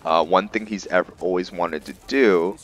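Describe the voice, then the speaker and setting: A man giving casual, improvised game commentary in a halting, think-aloud manner as he narrates a roleplay playthrough. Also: sits low. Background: background game music.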